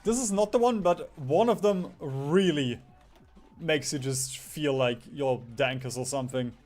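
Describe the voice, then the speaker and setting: A man's voice: a little high, a little slow, a little mellow. A man doing casual gaming stream commentary in a rambling, jokey way, swearing casually. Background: background music.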